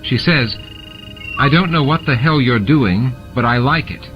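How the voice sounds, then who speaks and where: A calm female science officer as a male narrator puts her on gives matter-of-fact reports in a lifted voice. It is a science fiction audiobook with music clearly underneath. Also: mid-range voice, a little slow, dull audio, voice pushed up, natural voice. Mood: wry. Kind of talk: audiobook character dialogue. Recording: music bed behind the reading.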